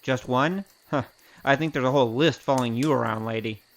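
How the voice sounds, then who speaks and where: A woman's voice: rumbling low, brisk. A woman acting in an audio drama as a wry, streetwise investigator with a cocky, teasing, casual manner.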